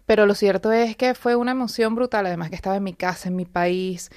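A woman's voice: medium pitch, flat delivery, fast talker, a little mellow, no echo, studio quality. Earnest woman telling her story. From Venezuela. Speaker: radio guest. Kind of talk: personal testimony in a radio interview. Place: radio studio.

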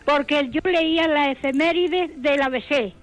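Chatty, high-voiced woman caller. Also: measured pace, no room sound. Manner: chatty, insistent.

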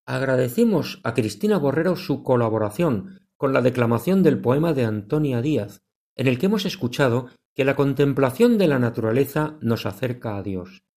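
A man hosting a religious radio program, introducing segments in a measured, devout manner. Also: mid-range voice, expressive, darkish tone. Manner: measured, devout announcer delivery.

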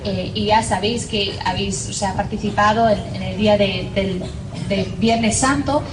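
A woman's voice: mid-range voice, normal speed. A religious sister from Northern Ireland with an Irish accent, telling her faith story in a humorous, self-mocking way with many fillers. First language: English. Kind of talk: personal faith testimony. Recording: distant mic in an echoey noisy room.

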